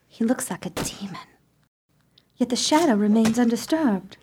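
A woman's voice: fairly high pitch, animated, moderate pace, noisy background, close to an everyday voice. A woman playing a timid, easily frightened, grieving sister in a radio chiller, with a fearful, pleading, emotional delivery. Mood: fearful, horrified. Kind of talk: theatrical radio play acting.

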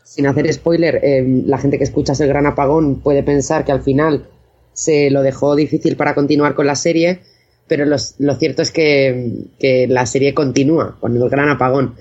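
A man's voice: medium pitch, steady tone, moderate pace, a little mellow, dull audio. A man from chatting casually as an interview guest, hesitant and often correcting himself. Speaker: guest, audio fiction director.

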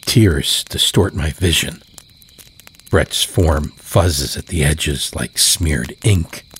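A man narrating scripted horror fiction in a measured, deliberate way. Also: extremely low pitch, fairly bright tone, a little hiss or hum. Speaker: storyteller, narrator.

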